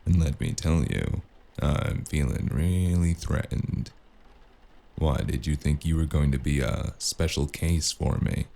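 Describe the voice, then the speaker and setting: A male actor playing a cynical, wisecracking investigator in a noir audio drama, with a gravelly voice and a dry, menacing delivery. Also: a bass voice, measured pace, dark tone, full character voice. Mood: dry menace. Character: cynical, wisecracking hardboiled spacer investigator with magic. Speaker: voice actor playing the lead and narrator.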